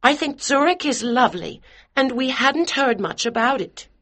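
A man's voice: very high-pitched, lively intonation, normal speed, pushed and bright, pitched above the performer's own voice, full character voice. A man reading an audiobook and voicing a fussy, flustered middle-aged mother who gushes as she talks. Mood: gushing. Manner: flustered and gushing.

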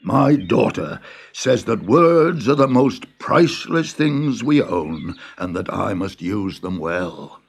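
A man doing a gravelly, fretful old peasant farmer. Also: fairly low pitch, lively intonation, takes it slowly, exaggerated voice. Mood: humble. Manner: fawning, fretful. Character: humble, anxious old male peasant farmer. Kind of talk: storybook reading.

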